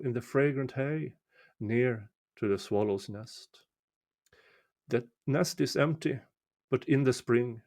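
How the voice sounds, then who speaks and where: A man recites a folk poem in a storytelling style. His voice sits at an average pitch. He takes his time, placing each short phrase deliberately with measured pauses. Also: darkish tone. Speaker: amateur reader of a poem.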